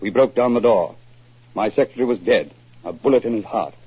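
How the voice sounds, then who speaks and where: An actor playing an earnest, crafty mystery writer with a formal, measured way of telling things, in 1940s radio drama style. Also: male voice, fairly low pitch, breathy edge. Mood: earnest, grave. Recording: muffled tinny 1940s radio recording.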